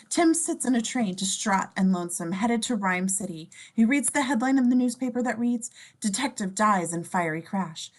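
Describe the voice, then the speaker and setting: A woman reading scene descriptions in a playful, slangy way, mixing scripted narration with casual joking asides. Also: mid-range voice.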